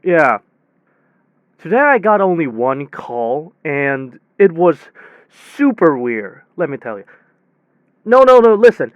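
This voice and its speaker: A man with a high, smooth voice rambles excitedly through a casual story, as if chatting on the phone. He stumbles and repeats himself as he goes. The sound is dry, close and a little dull. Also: dry recording. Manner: rambling, excitable, hesitant repetitions. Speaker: air conditioning technician character telling a story. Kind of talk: acted one-sided phone call, casual storytelling.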